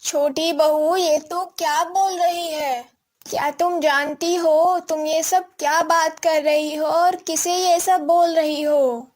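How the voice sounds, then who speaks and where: Woman doing a slow, kindly elderly mother-in-law. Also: sits high, steady tone, a little mellow. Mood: reproachful. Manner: measured, admonishing, consoling. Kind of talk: cartoon moral-story acting.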